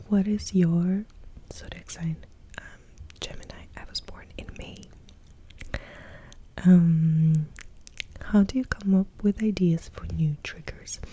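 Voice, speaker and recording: A young adult woman from Russia, speaking in a hushed, breathy half-whisper as she answers viewer questions in a soft-spoken ASMR video, very close to the mic. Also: lowish voice. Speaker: ASMR creator. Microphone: close sensitive ASMR mic.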